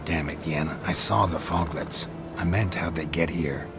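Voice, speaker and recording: A gruff, weathered monster hunter who speaks in short, flat, clipped lines. His voice is very deep, and game music runs clearly under it. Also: measured pace. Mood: terse. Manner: terse, flat, clipped replies. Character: gruff male monster hunter, middle-aged and terse. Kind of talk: game cutscene dialogue. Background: game score music.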